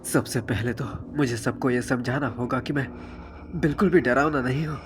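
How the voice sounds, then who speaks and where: A man's voice: medium pitch, normal speed, slight background noise, natural voice. A man voicing a polite, earnest teenage transfer student in a Hindi anime fan dub.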